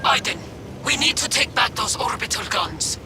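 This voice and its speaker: Game role: gruff military major, curt forceful orders, very high raised pitch, music behind. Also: male voice, expressive, moderate pace. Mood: resolute. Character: gruff army major barking over comms. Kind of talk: dramatic video game acting.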